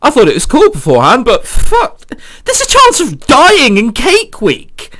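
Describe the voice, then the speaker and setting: A man's voice: very high voice, wildly swooping pitch, measured pace, sharp tone. A man reading a visual novel aloud for a let's play, breaking off into incredulous, sweary commentary. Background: game background music.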